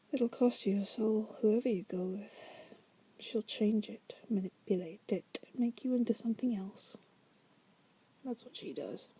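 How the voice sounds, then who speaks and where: Woman playing a dark, breathy, whispery demoness. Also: medium pitch, a little slow. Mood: seductive, coaxing. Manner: teasing, musing, drawling asides with soft chuckles. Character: wry, seductive female demon prisoner, patient deal-maker. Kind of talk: ASMR roleplay.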